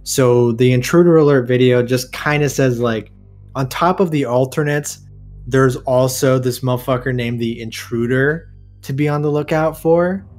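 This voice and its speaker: Mid-pitched man explaining casually over music. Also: normal speed, a little mellow. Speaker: co-host of a horror discussion show. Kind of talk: casual discussion and commentary. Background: music behind the voice.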